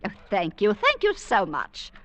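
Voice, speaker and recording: An actress playing a bossy, belittling, managing wife, imperious, fussy and scolding, in old-time radio suspense style. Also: female voice, medium pitch, wildly swooping pitch, unhurried, a bit bright, slight background noise. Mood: brisk, imperious.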